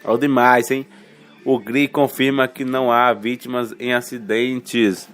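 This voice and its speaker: A man from Bahia, reading radio news headlines informally and haltingly, with self-corrections, colloquial asides and a slight cold. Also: medium pitch, flat delivery, a bit bright. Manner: colloquial, halting, stumbles over words and self-corrects, tags remarks with questions. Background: music.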